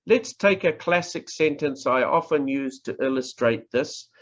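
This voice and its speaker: A middle-aged man with a New Zealand accent, teaching in a deliberate, emphatic way with pauses and repetition over a video call. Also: mid-range voice. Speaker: professor teaching public speaking. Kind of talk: instructional online lecture. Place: indoors, online presentation. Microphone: video call microphone. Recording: muffled lo-fi video-call audio.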